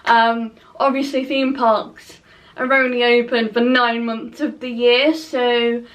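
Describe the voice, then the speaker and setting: A woman's voice: on the high side, flat delivery, slow pace, fairly bright tone, far from the mic. A young woman from England with a Nottingham accent, chatting casually in a home vlog by a window, rambling with lots of fillers. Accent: Nottingham English. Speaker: vlogger.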